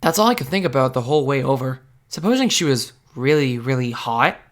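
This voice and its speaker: A man's voice: mid-range voice, lively intonation, brisk, lightly acted. A man playing an earnest, insecure teenage amateur superhero in a comic dub, speaking as narrator and in dialogue. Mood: confiding, uneasy. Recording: background music under the voice.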